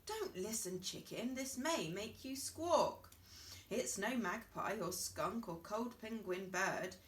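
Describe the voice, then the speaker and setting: A smug know-it-all tomcat from a storybook, voiced by a woman. The delivery is self-assured and teasing, unhurried, with lively rises and falls. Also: mid-range voice, reverberant, distant mic.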